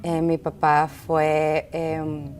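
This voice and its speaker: A middle-aged woman from Caracas with a Venezuelan Spanish accent, telling her story in conversational interview answers with halting, thoughtful pauses. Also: deep voice, completely flat delivery, darkish tone, soft background music. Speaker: interview guest, entrepreneur. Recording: clearly noisy background ambience.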